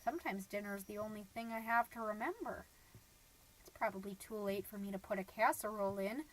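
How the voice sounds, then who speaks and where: A woman's voice: fairly high pitch, expressive, normal speed, fairly bright tone, poor audio. A woman playing a middle-aged suburban stay-at-home mom, gossipy and naive, rambling through a comedic podcast monologue with folksy, dramatic emphasis. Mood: gloomy, wistful. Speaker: podcast host.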